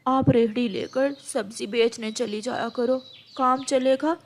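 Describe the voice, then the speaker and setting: A downcast, loving father bird from a children's moral cartoon, acted by a woman. The voice sits on the high side and carries a worried, injured air. Birdsong plays behind it. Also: fairly even intonation, measured pace, a little hiss or hum. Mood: resigned, worried. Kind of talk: Hindi children's moral-story cartoon dialogue.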